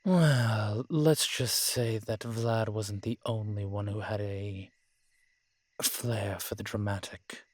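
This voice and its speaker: Man acting a low, husky brooding vampire. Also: fairly even intonation, no room sound. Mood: darkly wry. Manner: measured, formal, brooding, with sighs and pauses. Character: weary old male vampire, morose realist, formerly cruel. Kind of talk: scripted roleplay audio drama.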